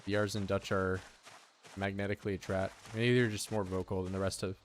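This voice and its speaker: A man's voice: low-pitched, flat delivery, brisk, slight background noise. A young man streaming a survival game, rambling and joking with his chat in a casual way. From United States. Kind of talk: casual gameplay commentary.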